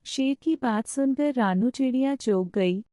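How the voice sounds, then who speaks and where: Mellow, higher-pitched woman narrator. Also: a little slow. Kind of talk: scripted children's story narration.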